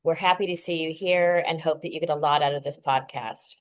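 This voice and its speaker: A woman hosting an interview podcast, with a somewhat low voice. She sounds upbeat and casual, leaning on fillers like "like" as she sets up her questions. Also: fairly even intonation, a little fast. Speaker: podcast host.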